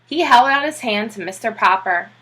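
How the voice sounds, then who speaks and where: Female storybook narrator, teacherly and expressive: slightly high, very lively pitch, bright tone. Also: moderate pace, breathy edge. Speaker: teacher reading aloud.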